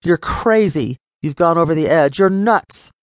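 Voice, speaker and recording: A woman's voice: low-pitched, animated, unhurried, no echo, studio quality. A woman voicing an angry wealthy father, raised and blustering with threats. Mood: furious.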